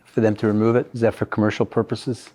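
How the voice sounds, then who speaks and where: Mellow-voiced man interviewing. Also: on the low side, little pitch movement, fast talker. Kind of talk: conversational interview questioning.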